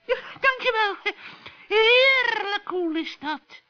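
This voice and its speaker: A man's voice: extremely high pitch, animated, slow pace, voice pushed up, exaggerated voice. A man voicing a frantic, wheedling old forest witch in a children's radio play, panicky, pleading and stammering. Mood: shaken. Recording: dull old radio recording.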